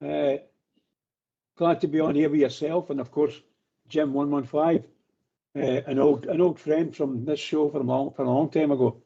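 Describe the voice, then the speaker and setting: A hoarse older man chatting as a pundit on a fan radio phone-in, hesitant with many fillers. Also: fairly high pitch, steady tone, normal speed. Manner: hesitant, warm-hearted, lots of uh fillers. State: hoarse from shouting. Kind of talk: casual phone-in chat. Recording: muffled phone-in line.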